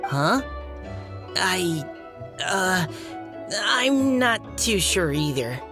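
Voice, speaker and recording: A woman voicing a modest, hesitant young video game character with a halting, unassuming delivery. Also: fairly low pitch, big pitch swings, music in the background, exaggerated voice. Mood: unsure. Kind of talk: animated game dialogue.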